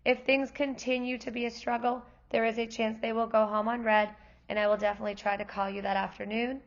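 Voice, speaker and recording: Hoarse kindergarten teacher woman, gravelly voice. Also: a little high, level tone, a little fast, dull audio. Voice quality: gravelly, worn voice. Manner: friendly, explanatory teacher talk. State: losing her voice. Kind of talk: parent night presentation.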